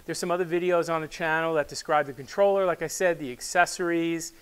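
Clear-voiced older man, fairly high pitch. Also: fairly even intonation, fairly bright tone. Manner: measured, halting, with pauses mid-phrase. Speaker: loudspeaker company presenter and product developer. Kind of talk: unscripted product presentation. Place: small wood-panelled studio, indoors. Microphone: lapel mic.

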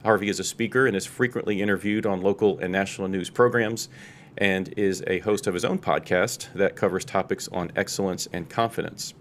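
Low-voiced man hosting a podcast. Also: fairly even intonation, fairly quick. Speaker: podcast host. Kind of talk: reading a scripted guest introduction, interviewing. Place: small podcast studio with large windows. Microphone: close studio mic with headset. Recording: faint noise and low rumble.

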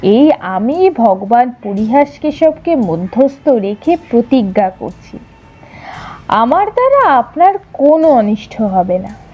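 A woman voicing a solemn, reassuring male king in an audio story, speaking formally and with measured dignity. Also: on the high side, big pitch swings, highs cut off, exaggerated voice.

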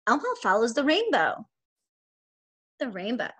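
A woman in her forties, a preschool teacher, reading a picture book aloud to young children in an expressive, sing-song manner. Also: a little high, a little slow, breathy edge. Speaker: preschool teacher and story time host. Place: small indoor room at home.

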